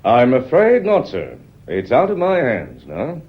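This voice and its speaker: A man acting in a British radio play as a polite, quietly persistent police inspector, measured and unflappable, with a British accent. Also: medium pitch, wildly swooping pitch, slightly rough, heavily acted. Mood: measured, official. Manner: deferential, measured, unflappable, constantly courteous. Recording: dull lo-fi radio recording.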